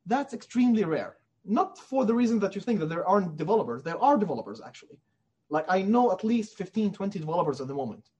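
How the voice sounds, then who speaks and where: Male indie developer, high-pitched and slightly raspy, earnest and emphatic in a conversational interview. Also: big pitch swings, fast talker, a little mellow, muffled recording. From Middle East.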